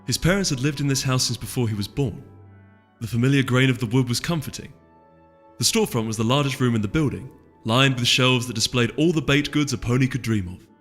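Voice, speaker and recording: Male audiobook narrator, middle pitch, music behind, noticeable reverb tail. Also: brisk. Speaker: audiobook reader. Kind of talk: scripted story narration.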